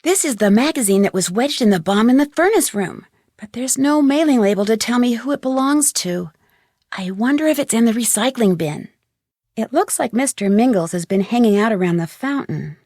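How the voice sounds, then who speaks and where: A curious young female sleuth speaks in a high, articulate voice, close and dry like a studio game recording. She sounds plucky and inquisitive, as if reasoning through a mystery aloud. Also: a little mellow, dry recording. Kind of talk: scripted video game voice acting.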